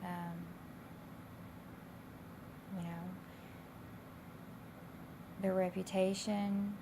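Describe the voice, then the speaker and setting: A woman instructor with a slightly raspy, hoarse voice, explaining coursework in a casual, loosely phrased, conversational way. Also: on the low side. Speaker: college instructor. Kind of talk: informal explanation of course assignments. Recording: clear background noise.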